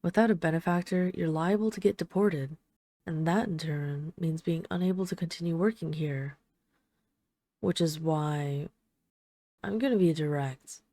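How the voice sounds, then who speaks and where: Low, mellow flirtatious vampire lady played by a woman. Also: dry recording. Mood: seductive, coaxing. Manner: teasing, coaxing, deliberate with lingering pauses. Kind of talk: intimate audio roleplay addressed to the listener.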